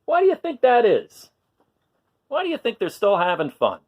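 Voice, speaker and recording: A man reads aloud for young children in a teacherly way. His pitch moves freely as he addresses them directly, asking questions and explaining in simple words. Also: wildly swooping pitch, moderate pace, phone-quality sound.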